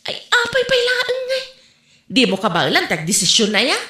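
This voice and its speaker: A woman playing a high, brash Filipino woman trader. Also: huge pitch swings, normal speed, bright, forceful tone, exaggerated voice. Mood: pushy. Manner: sassy, pushy. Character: brash, greedy woman pig trader, defiant daughter. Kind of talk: amateur audio drama dialogue. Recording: roomy echo, faint music behind.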